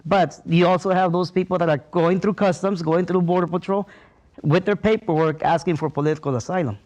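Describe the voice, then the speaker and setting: A man's voice: high voice, fairly even intonation, brisk, darkish tone. Hesitant man from Mexico. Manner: hesitant, many fillers. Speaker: guest.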